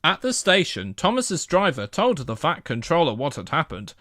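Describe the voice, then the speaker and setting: A man's voice: a little high, huge pitch swings, normal speed, a bit bright, no room sound, studio quality. A man narrating a children's story from a script as a storybook reader.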